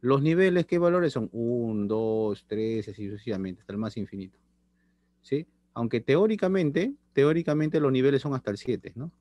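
A man's voice: mid-range voice, moderate pace, dark tone, no room sound, highs cut off. A middle-aged man teaching a chemistry class over a video call, repeating phrases and checking in with students. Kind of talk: online class lecture. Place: small room indoors. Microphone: laptop webcam on video call.

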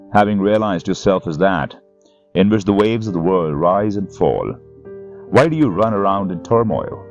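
A middle-aged man reading and introducing spiritual scripture in a serene, measured, solemn manner, as a teacher would. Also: sits low, a little mellow. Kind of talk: slow scripture reading and commentary. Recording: dull, muffled sound under music. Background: instrumental music.

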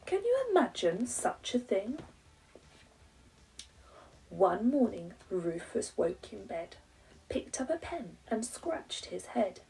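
A woman's voice: mid-range voice, sing-song, slow pace, gravelly, long pauses, warm tone, far from the mic, low-quality recording. A woman in her thirties with an English accent, a primary teacher reading a rhyming children's story with dramatic emphasis.

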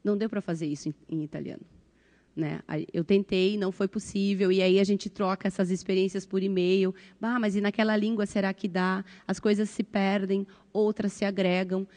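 Mellow-voiced southern Brazilian woman, chatty. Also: medium pitch, little pitch movement, moderate pace. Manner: conversational, frequent tag questions. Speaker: volunteer translator, panelist. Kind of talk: informal panel talk. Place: conference panel stage, indoors. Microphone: handheld microphone.

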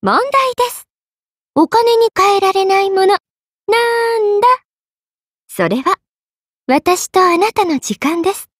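Playful regal anime game queen, super high n sweet, slow coy drawl, polite but teasing. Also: animated, no room sound, studio quality, full character voice. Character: playful, money-minded young queen, half spirit, teasing yet regal. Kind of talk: Japanese mobile game character voice acting. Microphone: close studio microphone.

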